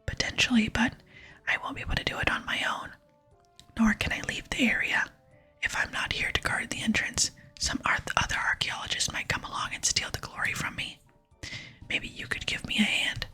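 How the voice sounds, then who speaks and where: Boastful female treasure-hunting archaeologist for a game, read by a woman, breathy whisper, brisk and self-important. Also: fairly high pitch, flat delivery, bright, forceful tone, faint music behind, pitched above the performer's own voice, full character voice. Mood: scheming, guarded. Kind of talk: whispered ASMR reading of game dialogue.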